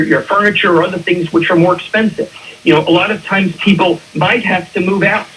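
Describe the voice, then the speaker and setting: A man's voice: high voice, recorded from a distance, background noise. A man speaking as an expert guest on a radio talk show, explaining conversationally and emphatically, with rhetorical questions. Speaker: attorney and insurance claims expert, radio guest. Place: radio station.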